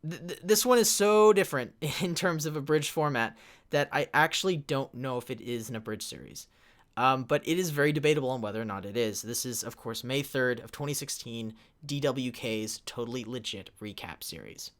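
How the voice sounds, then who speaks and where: A man's voice: mid-range voice, fairly quick, fairly bright tone. A man presenting a convention panel in an informal way, recounting a history with hesitant starts and emphatic bursts.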